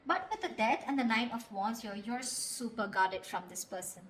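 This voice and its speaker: Woman, higher-pitched voice, tarot reader, casual spoken reading, conversational, blunt, sweary. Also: expressive, normal speed, a bit bright, slight background noise.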